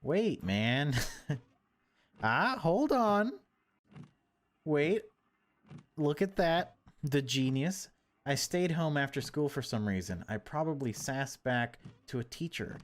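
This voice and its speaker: A man narrating a horror visual novel in a measured, unhurried way, with casual asides as a gaming video host. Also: medium pitch.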